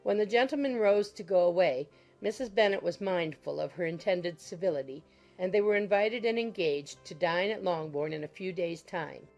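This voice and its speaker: A woman narrates a literary audiobook in a slightly low voice. She reads scripted period prose, and music plays softly underneath. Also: normal speed, rough recording.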